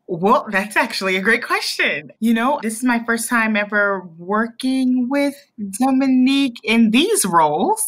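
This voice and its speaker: A woman's voice: a little high, expressive, a little slow, hardly any pauses, a bit bright. A woman speaking as a theatre director in a conversational interview. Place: remote recording.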